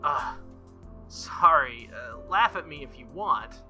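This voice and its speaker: A man's voice: a little high, animated, takes it slowly, pushed and bright, faint music behind, heavily acted. A man voicing an earnest, hesitant teenage boy hero in a visual novel. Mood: sheepish.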